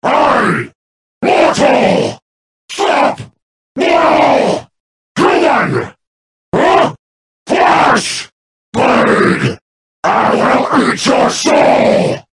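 Menacing demon soldier, distant and echoing. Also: male voice, very high voice, very animated, creaky, over a noisy crowd, full character voice. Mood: furious, aggressive. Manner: slow, menacing, drawn-out threats and shouted battle cries. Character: menacing demon soldier hunting human prey. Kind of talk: video game enemy combat barks. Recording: roomy, distant, very noisy game capture.

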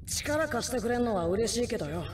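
Woman voicing a husky, brash teenage ninja boy. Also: fairly high pitch, animated, fairly quick, slight background noise, close to an everyday voice. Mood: determined, earnest. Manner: rough, cocky, earnest slangy speech. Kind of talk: anime game voice acting.

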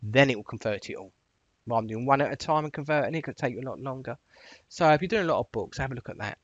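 A man narrating an informal software tutorial in a chatty, directive way, stressing the starts of his phrases. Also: medium pitch, expressive, rapid-fire, no room sound, lo-fi sound. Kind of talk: informal instructional screencast narration.

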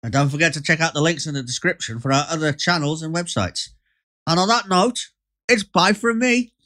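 A man's voice: fairly high pitch, brisk, bright, forceful tone. A man co-hosting a podcast in casual chat. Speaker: podcast co-host.

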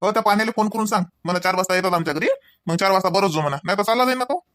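A man voicing a bantering cartoon husband from a comedy. His voice sits high and is recorded dry and close. He teases in a Varhadi Marathi accent, like someone always on his way out to work. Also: very fast, a bit bright, dry recording. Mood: teasing. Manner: bantering, teasing.

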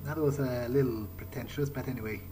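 Wry man over loud music. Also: mid-range voice, steady tone, brisk. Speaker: gamer playing in character. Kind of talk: in-character roleplay game commentary. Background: loud game music.

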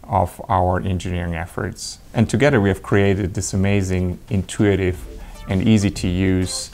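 Middle-aged man, low and gravelly, enthusiastic interview, faint music. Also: steady tone, moderate pace, a little mellow. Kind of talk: interview statement.

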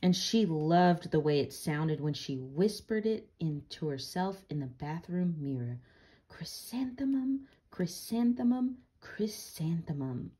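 A woman reading a storybook aloud and chatting casually about it, with slow, deliberate phrasing and gentle questions. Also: low voice. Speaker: read-aloud reader.

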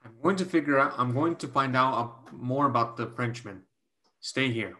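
A man acting a protective, lovelorn brother figure in a staged drama reading over a video call, speaking earnestly and haltingly. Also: medium pitch, bright, forceful tone, lightly acted. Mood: apologetic. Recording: clear background noise on video call.

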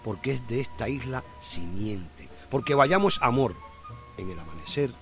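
A man's voice: mid-range voice, expressive, moderate pace, over loud music. A man hosting a radio show, reading a poetic text in an earnest, declamatory way. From Cuba. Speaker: radio host.

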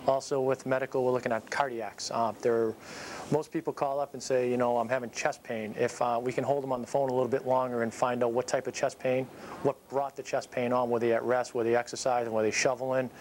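A man in his thirties giving an informal training talk as an instructor, explaining things in a halting, matter-of-fact way. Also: medium pitch, little pitch movement, darkish tone, a little hiss or hum. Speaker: paramedic instructor. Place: small dispatch office, indoors. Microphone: clip-on lapel mic.

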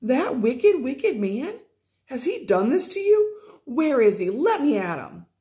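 A woman reading aloud voices a prosperous young stepmother, high, breathless and effusive. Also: animated, moderate pace, mellow. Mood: indignant.

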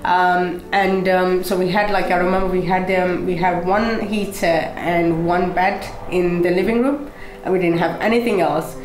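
A woman's voice: fairly low pitch, steady tone, normal speed, roomy sound, a little hiss or hum, poor audio. A young woman from Pakistan whose first language is Urdu, telling her life story to an interviewer with many fillers and restarts. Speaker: motivational speaker, guest. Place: indoor studio set with brick wall, seated at table.

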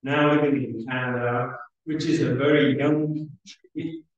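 Very reverberant room, distant mic, middle-aged man, medium pitch, halting conference speaker, hesitant pauses. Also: steady tone, highs cut off. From Switzerland. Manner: halting, hesitant, pausing often. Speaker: academic conference chair. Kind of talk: conference welcome speech. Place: indoor lecture room at a lectern. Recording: very echoey room, far from the microphone.

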